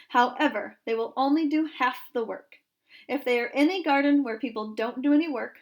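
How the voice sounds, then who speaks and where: A woman voicing a calm, patient storybook father, measured and gently teasing as he explains things. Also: sits high.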